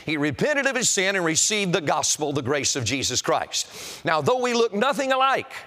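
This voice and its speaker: High-pitched man preaching an emphatic sermon. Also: big pitch swings, moderate pace, sharp tone. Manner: emphatic, declamatory, solemn warning. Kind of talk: evangelistic sermon to a congregation. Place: auditorium, preaching to a seated congregation.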